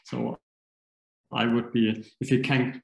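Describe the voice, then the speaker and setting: A man's voice: medium pitch, flat delivery, takes it slowly, creaky, echoey room. A man presenting a research project in an online seminar, hesitant with many fillers, over a video call. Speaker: academic presenter.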